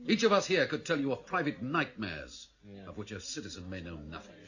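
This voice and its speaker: Underworld council leader, grave, formal, measured, commanding, animated intonation, radio drama, muffled band-limited sound. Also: male voice, lowish voice.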